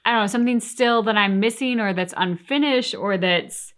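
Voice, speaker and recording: A woman's voice: medium pitch, moderate pace, a bit bright, clear, resonant tone. A woman co-hosting a reaction show, giving thoughtful, conversational commentary in a casual chat style. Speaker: co-host of a reaction channel.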